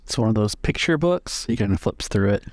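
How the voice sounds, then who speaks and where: A man acting as game master, narrating an improvised tabletop game in measured phrases with pauses between them. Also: fairly low pitch, animated, a little mellow, no room sound, clean studio sound. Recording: music bed behind the voice.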